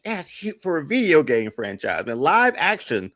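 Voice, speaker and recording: A man's voice: on the high side, wildly swooping pitch, normal speed, a bit bright. A man chatting casually as a podcast panelist, slangy and emphatic, often repeating his phrases for weight.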